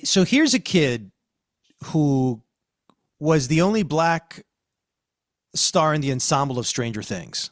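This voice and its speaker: Podcast guy, kinda higher voice, deliberate stop and start analysis, no echo. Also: very animated, studio quality. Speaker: podcast host. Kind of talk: conversational analysis.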